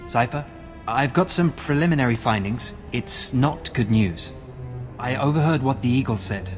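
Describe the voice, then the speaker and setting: An actor playing an earnest, eager field agent giving a brisk report. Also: male voice, mid-range voice, big-room sound, soft background music, close to an everyday voice.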